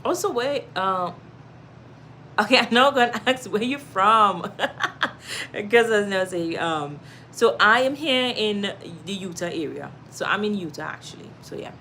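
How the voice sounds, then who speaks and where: A woman's voice: medium pitch, animated, a little slow, slight background noise. A Trinidadian woman hosting a casual livestream at home, reading viewer comments, laughing often and giving encouragement. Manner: warm-hearted, encouraging, laughs often, reads comments aloud. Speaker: live stream host.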